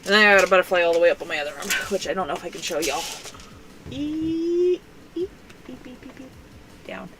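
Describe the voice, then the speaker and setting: A woman's voice: mid-range voice, expressive, fairly quick, long pauses, background noise. A young woman hosting a crafting stream, chatting casually and rambling with lots of fillers. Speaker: crafting channel host. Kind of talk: casual chat while crafting.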